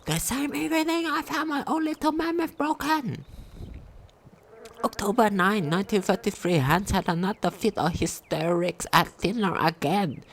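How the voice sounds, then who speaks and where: A woman's voice: mid-range voice, normal speed. A woman reading a diary aloud during a game playthrough, sometimes stumbling over longer words. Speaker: game commentator.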